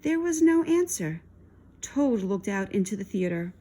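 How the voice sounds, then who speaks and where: A woman reading a storybook aloud to children in an expressive style. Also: mid-range voice, a little slow.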